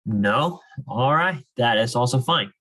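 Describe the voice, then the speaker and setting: A man's voice: mid-range voice, lively intonation, unhurried, fairly bright tone. An encouraging, informal man leading a reading club, reading aloud and chatting with students. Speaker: reading club leader and coach.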